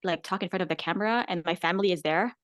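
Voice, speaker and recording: A woman's voice: fairly low pitch, very fast, a bit bright, no room sound, studio quality. A woman from the Philippines, a guest content creator chatting casually in an interview, filling her talk with "like" and "right?". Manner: chatty, many "like" and "right?" fillers.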